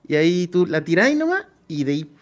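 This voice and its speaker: Slangy Chilean man, slightly high voice. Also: sing-song, fast, faint music behind. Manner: colloquial, slangy. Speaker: co-host of a role-playing stream. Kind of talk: casual livestream chat.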